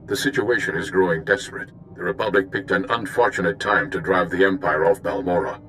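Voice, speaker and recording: An actor voicing an earnest, calm male diplomat in a video game, briefing in a measured way. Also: low-pitched. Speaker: mission contact. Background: music.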